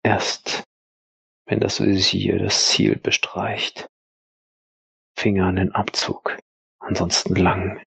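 A man narrating an audio drama in a dramatic scripted style, husky and gravelly, with terse, weighty phrases and pauses. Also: low voice, little pitch movement, fairly bright tone, no echo.

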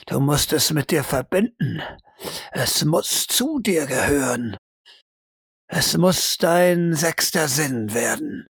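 A man's voice: a little high, expressive, slow pace, slightly rough, no echo, studio quality, full character voice. A man playing an intense military shooting instructor in an audio drama, talking in terse, commanding phrases. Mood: hushed, hypnotic. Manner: terse clipped commands, drawn out for emphasis.

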